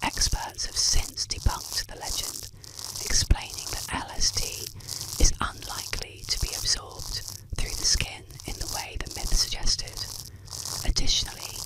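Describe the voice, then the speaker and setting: An ASMR storyteller narrating scripted tales in a breathy, unvoiced whisper, with soft, deliberate phrasing. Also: poor audio.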